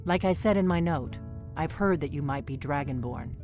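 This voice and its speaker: A woman's voice: low-pitched, moderate pace, darkish tone. An actress voicing a guarded, secretive female innkeeper who works as a spy, speaking in a cautious, urgent, conspiratorial way over faint game music.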